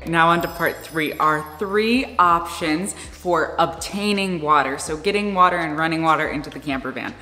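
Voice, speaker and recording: A woman's voice: low voice, moderate pace, hardly any pauses, sharp tone. A woman in her thirties giving a casual, informal explainer to camera as a vlogger. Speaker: vlogger explaining a camper van build. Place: indoor garage workshop beside a van. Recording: echoey, reverberant room with music behind.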